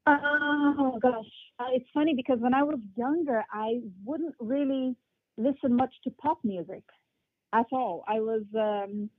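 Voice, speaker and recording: A young woman with a blended, hard-to-place English accent talks through her answers with lots of ums and restarts. Her voice sits fairly high and comes through a thin, tinny line with no room sound. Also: a little slow, no room sound. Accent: mixed international English. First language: English and Portuguese. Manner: thoughtful, many fillers and restarts. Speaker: flautist guest. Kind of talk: casual interview answers.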